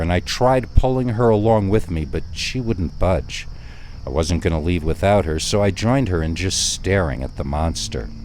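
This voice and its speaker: Deep-voiced man telling a scary story. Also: a little mellow, a little hiss or hum. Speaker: narrator of scary stories. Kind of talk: dramatic first-person horror storytelling.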